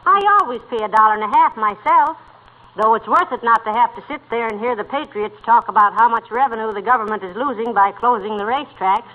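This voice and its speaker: An actress playing a patient, dryly teasing middle-aged housewife in a 1940s radio comedy, gently scolding. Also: female voice, fairly high pitch, quick pace, natural voice. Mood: wry. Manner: dry, wry, gently scolding. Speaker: comic wife in a radio sitcom. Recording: tinny, very noisy old radio broadcast.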